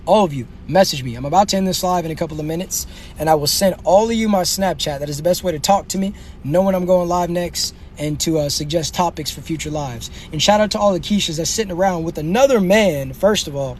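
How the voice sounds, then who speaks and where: Brash high-voiced man ranting on a livestream. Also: a little hiss or hum. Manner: brash, animated, slangy trash talk. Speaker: livestream host. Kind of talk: comedic livestream rant.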